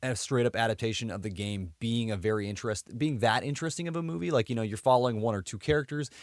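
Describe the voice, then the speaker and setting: A man's voice: mid-range voice, fast, no echo, studio quality. A man co-hosting a podcast, talking casually about movies with loose, conversational phrasing. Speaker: podcast co-host.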